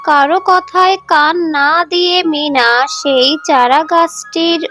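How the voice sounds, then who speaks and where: A woman's voice: high voice, takes it slowly, a bit bright, soft background music. A woman giving scripted narration of a children's story.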